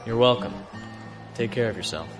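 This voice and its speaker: An actor playing a calm, thoughtful male starship captain, measured and understated, in a sci-fi audio drama. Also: mid-range voice, over music, close to an everyday voice. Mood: gentle.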